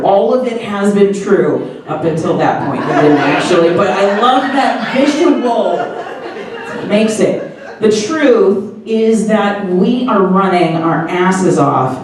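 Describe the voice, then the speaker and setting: A woman telling a true story on stage with a wry, digressive, laughing manner and the odd swear. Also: on the low side. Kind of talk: live personal storytelling. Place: comedy theater stage. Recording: echoey theater from far off.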